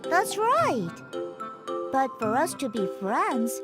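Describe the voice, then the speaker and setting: A kindly, wise cartoon fox, talks slowly and tenderly, with measured phrasing. Music plays behind the voice. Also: female voice, high voice, big pitch swings, heavily acted. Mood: friendly, wise. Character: gentle, wise, friendly fox teaching friendship.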